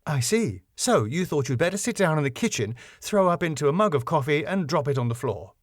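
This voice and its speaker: A man's voice: fairly high pitch, animated, a little fast, no room sound, clean studio sound, voice pushed up. A man voicing a stern female council inspector in a sitcom, formal, clipped and pompous. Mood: disgusted. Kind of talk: sitcom script read aloud.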